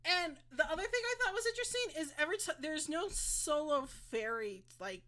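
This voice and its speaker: A woman's voice: high voice, expressive, brisk, sharp tone. A woman co-hosting a casual podcast chat, talking in a rambling, blunt way and laughing as she goes. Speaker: co-host presenting the topic.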